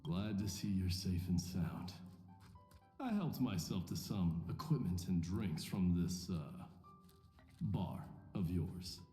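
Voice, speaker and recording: A man voicing a calm, serious warrior in a fantasy video game, measured and understated. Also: deep voice, full character voice. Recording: echoey reverb with music behind.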